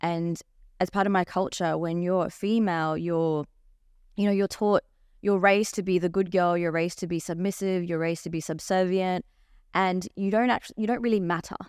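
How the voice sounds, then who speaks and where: A woman's voice: on the low side, normal speed, clear, resonant tone, no echo, clean studio sound. A young woman, a coach telling her story openly in a podcast interview. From Australia. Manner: open, animated storytelling. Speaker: life coach guest.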